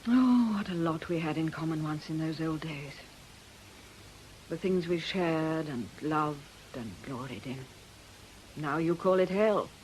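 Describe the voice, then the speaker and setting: A woman's voice: deep voice, measured pace, pauses often, a little mellow, slight background noise, low-quality recording. An actress playing a bitter, imperious woman who leads an occult coven, in a theatrical radio drama style. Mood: menacing. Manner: imperious, scornful, theatrical delivery. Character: bitter, menacing woman occult coven leader and fortune-teller.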